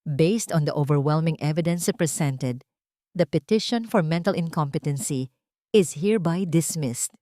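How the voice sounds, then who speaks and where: A woman voicing a stern court judge who delivers a formal ruling in a measured, authoritative way. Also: sits low, warm tone, no echo, studio quality, natural voice.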